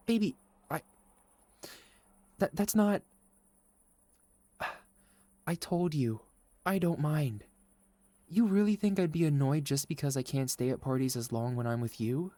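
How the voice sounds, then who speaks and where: A man playing a gentle, caring young boyfriend in an intimate ASMR roleplay, speaking softly and sincerely to an anxious partner. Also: on the high side, no echo. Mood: alarmed, flustered, then earnest, reassuring. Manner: soft, sincere, tender, halting when worried.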